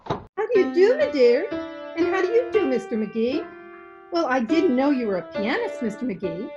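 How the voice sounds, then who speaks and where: Woman acting a high, fluting society matron. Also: big pitch swings, moderate pace, highs cut off, music in the background. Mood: gushing. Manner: pompous, gushing, affected. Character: gushing, pretentious high-society lady. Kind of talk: old-time radio comedy acting. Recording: home video-call recording.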